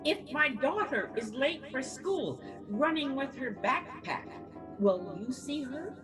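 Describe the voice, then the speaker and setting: A woman's voice: high voice, lively intonation, takes it slowly. A woman playing a mother in an audio play chorus, declaiming, heard at a distance under music. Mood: anxious challenge. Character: worried mother in a chorus of voices.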